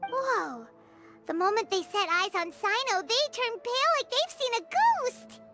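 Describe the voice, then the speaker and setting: A woman voicing a tiny, feisty, childlike fairy companion in a video game, excitable and exclaiming. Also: extremely high pitch, a little slow, over music, full character voice. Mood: excited. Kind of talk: video game cutscene acting.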